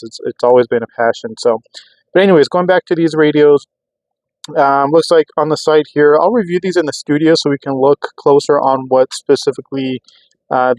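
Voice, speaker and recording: A man's voice: medium pitch, a little mellow, dry recording, rough recording. A middle-aged man talking casually and unscripted as a hobby review host, explaining things in a rambling, conversational way. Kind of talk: casual unscripted product talk.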